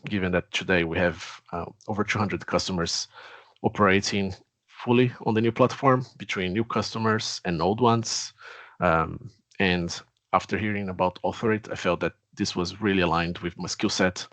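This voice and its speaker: Man, 40s, Brazilian accent, low pitch, measured earnest tone, dry sound. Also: fairly bright tone, no room sound. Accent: Brazilian Portuguese. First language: Portuguese. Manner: earnest, measured, with pauses and fillers. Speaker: CTO. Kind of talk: self-introduction.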